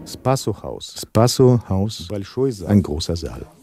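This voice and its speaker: A terse scene narrator for a radio drama. His voice is low and mellow, with animated intonation over faint music. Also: slow, gravelly, exaggerated voice. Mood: clipped. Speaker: scene announcer in Russian and German.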